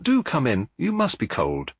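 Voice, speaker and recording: Man voicing a husky, gracious young aristocratic lady. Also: medium pitch, wildly swooping pitch, normal speed, no echo, studio quality, pitched above the performer's own voice, heavily acted. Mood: soft, polite. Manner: polite, formal, smooth.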